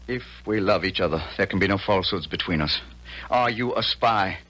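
A Confederate colonel in a radio play, formal and emphatic. His voice is fairly low and husky. He is stern, lovelorn and easily agitated. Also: a little fast, a bit bright, low-quality recording. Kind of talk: 1970s radio drama acting.

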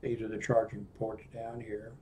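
An older man with an American accent giving an unscripted product walkthrough in a halting, rambling way that often trails off. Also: fairly low pitch, completely flat delivery, dark tone, rough recording. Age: 60s or older. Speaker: presenter of a radio equipment review. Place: small indoor room at a desk.

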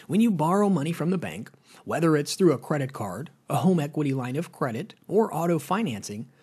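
A man's voice: on the high side, breathy edge. A man with an American accent narrating a finance explainer in a chatty scripted voice-over, talking to viewers as guys. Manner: chatty, addresses viewers as guys, lively rises.

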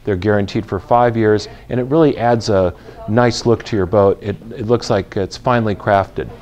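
A man in his fifties with a fairly low voice presents an instructional buyer's guide. He explains things clearly and methodically, speaking into a lapel mic. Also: normal speed, a little mellow, dull audio. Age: 50s. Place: large retail store, indoors.

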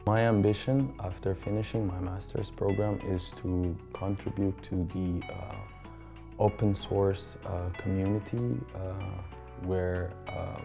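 A young man from Ethiopia, a master's student, speaking haltingly with many pauses in an interview. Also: deep voice, vocal fry. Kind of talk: interview testimonial. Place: indoor room by a window. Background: background music.

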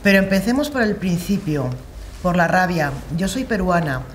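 Middle-aged woman, lowish voice, steady reading aloud, faint background hum. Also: moderate pace. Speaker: reader in a shared reading group. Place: large hall, seated on the floor.

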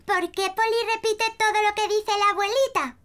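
A voice actor playing an excitable, curious little girl piglet in a childlike cartoon dub. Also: very high-pitched, a little hiss or hum. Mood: cheerful, excited. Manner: childlike, slow deliberate delivery. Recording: loud cartoon music behind.

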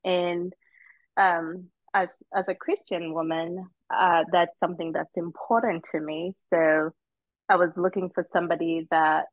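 A middle-aged woman from Zambia, a guest telling her personal story in an unhurried, earnest way with many ums. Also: fairly low pitch, little pitch movement, no echo, muffled recording. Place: outdoors on a footbridge.

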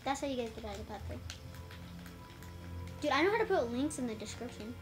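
A woman's voice: high voice, expressive, quick pace, music in the background. Casually swearing woman chatting and joking while playing a shooter split-screen on a TV, filmed by phone. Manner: swears, jokes and laughs a lot. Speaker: gamer filming split-screen play. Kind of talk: casual gaming chat. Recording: noisy room recording.

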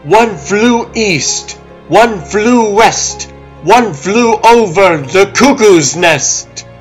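A crazed cartoon asylum zealot, pitched high and delivered theatrically. Music plays underneath. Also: male voice, animated, extremely slow, exaggerated voice, low-quality recording. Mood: chanting. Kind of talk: comic-book dub acting.

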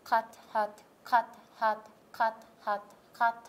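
A woman's voice: a little high, completely flat delivery, fast talker. A polite, modest young woman taking a pronunciation lesson, repeating drills and giving short replies. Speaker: pronunciation student, radio personality. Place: meeting room, indoors.

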